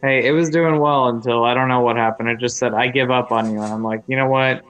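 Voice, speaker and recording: A man's voice: mid-range voice, fairly even intonation, fast talker, a bit bright, faint music behind, low-quality recording. Friendly man in his thirties, laughs often. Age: 30s. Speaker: co-host of a movie commentary channel. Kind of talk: casual live chat with viewers.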